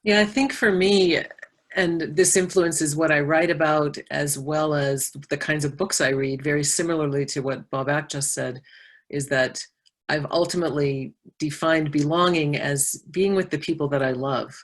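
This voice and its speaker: A woman with a notably low voice answers a panel question over a video call. She speaks calmly and thoughtfully and keeps her answer plain. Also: moderate pace. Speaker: panelist and author.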